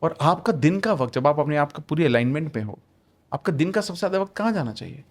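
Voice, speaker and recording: A man's voice: on the high side, animated, fast. A man on a podcast as a guest author, explaining ideas emphatically with rhetorical questions and English phrases mixed into his talk.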